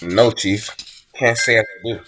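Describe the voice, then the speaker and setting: Woman acting a very low-voiced junior policeman. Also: measured pace, a bit bright, slight background noise, low-quality recording. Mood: dutiful, observant. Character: deferential junior police detective, male. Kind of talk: radio mystery acting.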